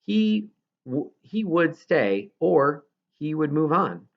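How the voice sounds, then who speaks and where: A man's voice: on the high side, sing-song, slow pace, creaky, dull audio. A man reading a children's novel aloud as scripted narration, now and then stumbling over a word. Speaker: audiobook reader.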